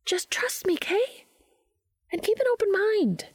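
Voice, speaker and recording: A woman's voice: very high voice, lively intonation, a little slow, voice pushed up. A woman voicing a perky, impish, evasive woman in an audiobook story. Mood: coaxing.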